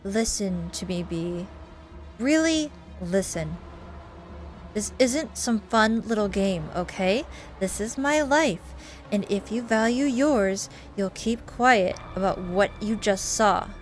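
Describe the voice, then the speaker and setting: A woman's voice: mid-range voice, lively intonation, takes it slowly. A stern young woman in charge, played by a female game player, speaks in a curt and menacing way. She is a tense, angry visual novel character who means every threat, with music playing underneath. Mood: menacing hiss.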